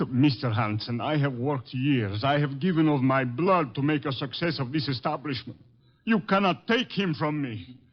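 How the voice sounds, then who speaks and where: An actor playing an anxious, bitter foreign-born shopkeeper in a radio drama, with halting, stiffly formal English. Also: male voice, medium pitch, lightly acted. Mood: desperate. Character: anxious, bitter foreign-born male flower shop owner. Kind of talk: 1950s radio acting. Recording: muffled old radio recording.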